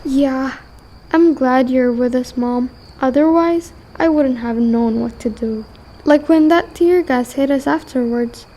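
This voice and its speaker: A woman's voice: high voice, measured pace, warm tone. A woman playing a guilt-ridden but resolute teenage war refugee in an amateur radio drama. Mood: grateful, subdued.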